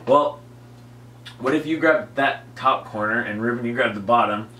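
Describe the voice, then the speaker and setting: A man's voice: mid-range voice, normal speed, bright, forceful tone. A young man hosting a comedy web show, upbeat and leading the group with frequent laughs, recorded in an indoor studio. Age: young adult. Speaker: show host. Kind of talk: comedy sketch banter. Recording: echoey room, faint noise.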